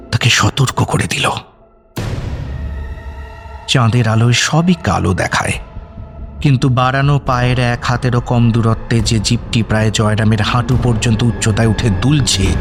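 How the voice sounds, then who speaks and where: A man narrates a horror suspense audio story in a measured, dramatic way with deliberate pauses. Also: mid-range voice. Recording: music under the voice. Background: background music.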